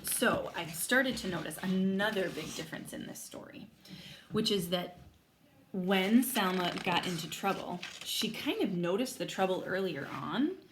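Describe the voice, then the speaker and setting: Expressive woman teacher reading aloud. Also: lowish voice, a little slow, background noise. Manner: thinks aloud, posing questions to young listeners. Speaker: elementary school teacher. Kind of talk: read-aloud storytelling with asides to students.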